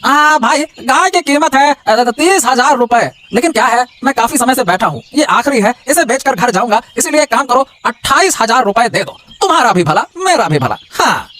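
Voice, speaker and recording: A man's voice: very high voice, big pitch swings, normal speed, hardly any pauses, sharp tone, slight background noise, heavily acted. A man voicing an impatient, wily cattle seller in a cartoon, haggling and mocking in bursts of repeated words. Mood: eager haggling. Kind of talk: exaggerated Hindi cartoon voice acting.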